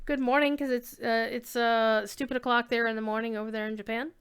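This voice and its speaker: A woman with a high, very clear and smooth voice hosts a casual livestream. She talks to her viewers in a chatty, informal ramble, drifting from one thought to the next with effusive warmth in her wording. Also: steady tone, brisk. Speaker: livestream host and reader.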